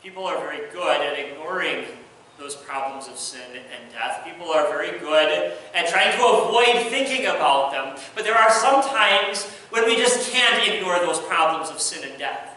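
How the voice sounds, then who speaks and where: Earnest pastor in an echoey church. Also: male voice, a little high, lively intonation, moderate pace, far from the mic. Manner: earnest, swings from soft hush to raised emphasis. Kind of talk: sermon. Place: large church sanctuary, at the pulpit. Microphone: distant pulpit mic.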